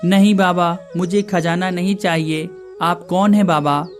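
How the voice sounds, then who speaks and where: A performer plays a young village man in a cartoon moral tale, the earnest youngest brother. He speaks politely and imploringly in a mid-pitched voice over background music. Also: fairly even intonation, a little slow, close to an everyday voice. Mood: earnest, pleading. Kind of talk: cartoon moral story dialogue.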